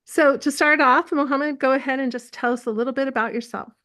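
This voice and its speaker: A woman's voice: sits high, steady tone, a little fast, pushed and bright. A woman who chairs a community council, moderating an interview and reading a scripted introduction.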